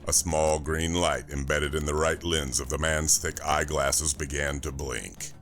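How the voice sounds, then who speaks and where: A man narrating a science fiction thriller audiobook from a script, in a measured, dramatic way. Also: a bass voice, fairly even intonation, a bit bright, soft background music.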